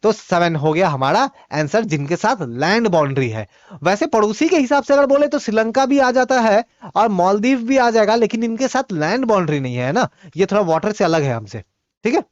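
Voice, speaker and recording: Man teaching exam-prep quiz, native Bhojpuri speaker, reads questions slowly then explains in quick repetitive asides, muffled lo-fi sound. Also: high voice, expressive, no room sound. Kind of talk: quiz lesson, explaining answers.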